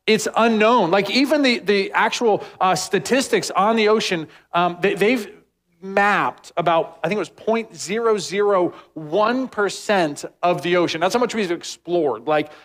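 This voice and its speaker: A pastor preaching a casual, rambling sermon, full of "like" and asides to the congregation. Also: male voice. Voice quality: says he has a deep voice. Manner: rambling, animated, heavy use of "like".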